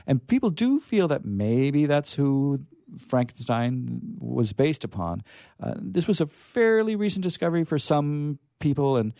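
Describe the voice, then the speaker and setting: A male radio host talks off the cuff in a dry studio with a widely varying, animated pitch. He rambles casually, often halting and restarting his thoughts. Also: gravelly, dry recording. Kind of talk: casual unscripted on-air chat.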